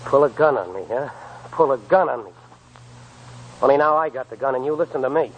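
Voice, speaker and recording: A man's voice: medium pitch, expressive, fairly quick, natural voice. An American man acting an honest, level-headed prospector in a 1940s radio drama, plain-spoken and firm. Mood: tense, pleading. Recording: noisy old 1940s radio broadcast.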